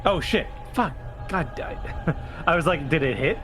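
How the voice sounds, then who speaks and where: A man's voice: fairly high pitch, lively intonation, a little slow. A man speaking casually as a player in a tabletop roleplaying game. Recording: loud music under the voice. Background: loud background music.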